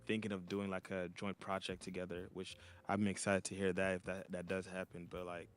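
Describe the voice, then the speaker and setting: Laid-back low-voiced man from Montreal. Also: monotone, fairly quick. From Montreal, Canada. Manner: laid-back, rambling, many fillers. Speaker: DJ and podcast co-host. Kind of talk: casual chat. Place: home, child playing nearby.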